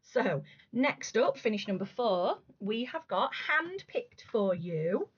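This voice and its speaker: A woman in her thirties, a crafting vlogger, chatting casually and gushing about her work, her voice going a little hoarse. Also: a little high, sing-song, takes it slowly. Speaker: cross stitch vlogger. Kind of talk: casual show-and-tell chat. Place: room at home. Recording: slightly dull lo-fi home recording.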